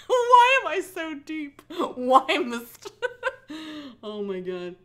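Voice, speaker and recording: A woman livestream host cold-reading aloud with mocking asides, animated, sarcastic and giggly, with casual swearing. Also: high voice, slow.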